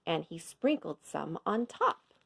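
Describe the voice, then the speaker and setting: A woman reading a children's storybook aloud as a teacher would, with expressive, measured storytelling for young children. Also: fairly low pitch, gravelly, breathy edge. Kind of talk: scripted children's storybook narration.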